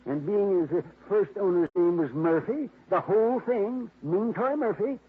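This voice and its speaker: An actor playing a gruff, quick-tempered older man who owns a prized dog, in 1950s radio drama style, heard through a tinny old broadcast. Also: sits high. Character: blustering older man, rich dog owner.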